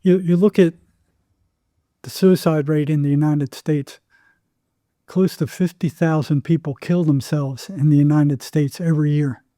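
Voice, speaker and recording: A man's voice: a little high, fairly even intonation, unhurried, mellow, dry recording, clean studio sound. A man speaking as an interview guest, telling anecdotes and explaining with emphatic, repeated phrasing. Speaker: psychotherapist, podcast guest.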